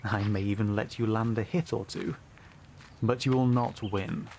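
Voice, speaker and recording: A man's voice: on the low side, steady tone, normal speed, vocal fry, a little mellow, slight background noise. A man playing a smug, scheming, haughty knight in a fantasy audio roleplay, with a pompous, mocking drawl. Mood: smug menace.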